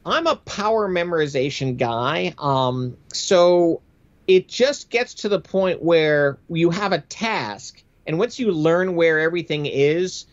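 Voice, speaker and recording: A man's voice: a little high, expressive, takes it slowly, muffled recording. A middle-aged American man, a former military aviator, telling his experiences conversationally as an interview guest in an emphatic, matter-of-fact way. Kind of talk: conversational storytelling.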